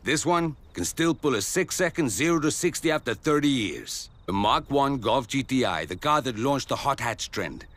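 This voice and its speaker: A man's voice: medium pitch, animated, normal speed, hardly any pauses, fairly bright tone. A man playing a street-wise mechanic who talks cars with salty enthusiasm in a video game cutscene. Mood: admiring. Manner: enthusiastic, salty car talk.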